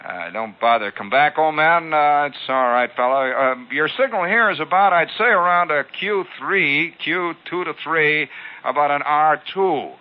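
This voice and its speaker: Man putting on a snooty, high-pitched veteran radio ham. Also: normal speed, lightly acted. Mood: condescending, dismissive. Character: condescending veteran male ham radio operator, curtly dismissive. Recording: dull, band-limited old radio broadcast.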